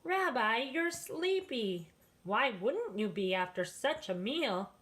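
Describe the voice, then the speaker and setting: A woman as a kindly, slow-talking storybook grandmother. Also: a little high, sing-song, a bit bright, heavily acted. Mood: fond. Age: older. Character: kindly, hospitable old grandmother, chuckling and fussing. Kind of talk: children's storybook read-aloud.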